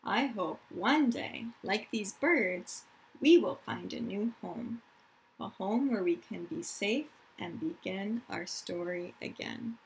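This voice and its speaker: A woman teacher reading a picture book aloud to children in an expressive, deliberate storytelling manner, with explanatory asides. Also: medium pitch, gravelly, poor audio. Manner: dramatic, deliberate storytelling delivery, addressing children directly.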